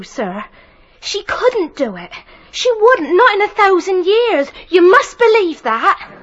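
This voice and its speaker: Dull recording, high husky young English housemaid. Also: female voice, fairly bright tone, heavily acted. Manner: hesitant, respectful. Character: timid, loyal young English housemaid, earnest and deferential. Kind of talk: period radio drama acting. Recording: dull lo-fi radio drama recording.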